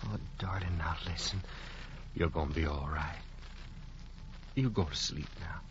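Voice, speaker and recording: An actor playing a young man softly reassuring his sick sweetheart, in a dull lo-fi recording. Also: mid-range voice, normal speed, slight background noise, lightly acted. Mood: tender. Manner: gentle, reassuring, soft-spoken. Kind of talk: radio drama acting.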